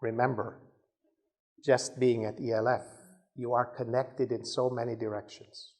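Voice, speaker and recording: Male speaker, mid-pitched and gravelly, giving an informal leadership talk with frequent restarts. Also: flat delivery, unhurried, darkish tone. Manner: conversational, stumbles and repeats words, acts out sample dialogue. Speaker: conference speaker.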